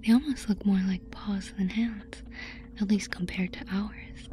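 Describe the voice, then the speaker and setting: Casting: woman to play playful, doting alien girl, soft ASMR whisper, medium pitch, faint ambient noise behind. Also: fairly even intonation, unhurried, natural voice. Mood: charmed. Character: playful, affectionate alien girl charmed by humans.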